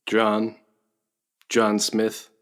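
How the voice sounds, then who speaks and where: A man acts as a big convict in a radio play who has lost all defiance. He answers haltingly in a fairly low, very slow monotone, sounding empty and dazed. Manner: halting, flat, minimal answers.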